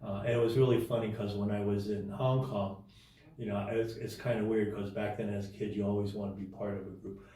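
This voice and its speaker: A man telling his life story as an interview guest in a casual, halting way, with many restarts and fillers. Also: fairly low pitch, steady tone, warm tone, very echoey, distant mic, low-quality recording.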